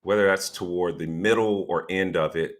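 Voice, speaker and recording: A man's voice: low-pitched, fairly even intonation, normal speed. A man hosting an interview show, a non-practicing attorney, speaking hesitantly with many fillers and restarts.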